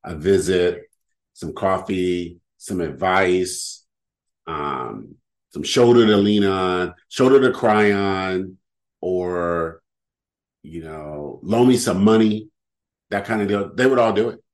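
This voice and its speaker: A middle-aged man with a very deep voice, chatting casually as a podcast host at home, rambling with lots of fillers. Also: slow pace. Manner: rambling, lively, full of fillers. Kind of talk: casual chat. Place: home living room.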